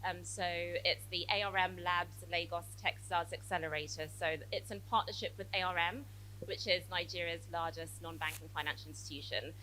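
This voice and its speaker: A woman with a British-tinged accent introducing herself on a live event panel, brisk and confident. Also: lowish voice, fairly even intonation, bright, forceful tone, slight background noise, rough recording. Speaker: panelist, accelerator managing director. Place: event stage with audience.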